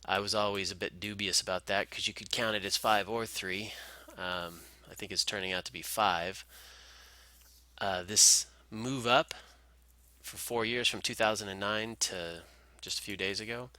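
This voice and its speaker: Male analyst, lowish pitch with a very sharp, bright edge, informal tutorial delivery, close and dry. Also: fairly even intonation, normal speed, clean tone, no echo. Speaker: market analyst presenter. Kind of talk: informal screen-share chart commentary.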